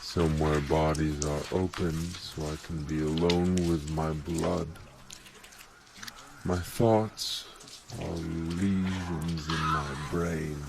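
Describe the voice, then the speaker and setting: An actor playing a disgusted, world-weary intellectual in an audio play, declaiming a monologue in halting, broken phrases. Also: male voice, rumbling low, steady tone, gravelly, noisy background, full character voice. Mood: weary, withdrawn. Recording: music under the voice.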